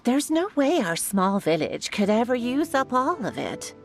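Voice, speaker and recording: An actress playing a gentle, grateful old village granny with a creaky voice in a video game. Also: female voice, a little high, very animated, unhurried, soft background music. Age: older.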